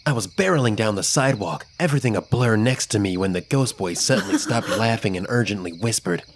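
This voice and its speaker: A man narrating a scripted first-person children's story in an expressive, dramatic storytelling manner. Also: fairly low pitch, a little fast, a little hiss or hum.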